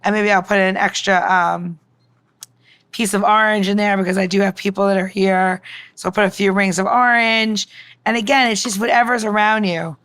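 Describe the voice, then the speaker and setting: A woman's voice: medium pitch, steady tone, normal speed, a bit bright, clean tone. A woman mixologist giving a casual, informal demonstration, chatting and explaining as she goes. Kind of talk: casual cooking-style demonstration.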